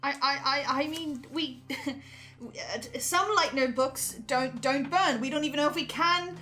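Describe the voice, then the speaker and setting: A woman's voice: high voice, unhurried, sharp tone, soft background music. A woman playing an anxious, self-doubting male archivist with a stammering, nervous delivery, in an audio drama. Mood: hesitant. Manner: stammering, nervous, breaking into hesitations.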